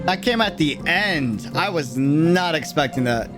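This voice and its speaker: A young adult streamer giving casual, slangy, excitable livestream reaction commentary on a game video. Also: medium pitch, unhurried. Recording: loud music behind the voice. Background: loud game trailer music.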